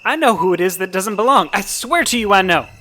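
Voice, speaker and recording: A woman's voice: mid-range voice, expressive, a little fast, bright, forceful tone. A woman playing a desperate, cornered neighbor in a radio play, pleading, stammering and repeating as blame is deflected. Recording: noisy, night insects behind.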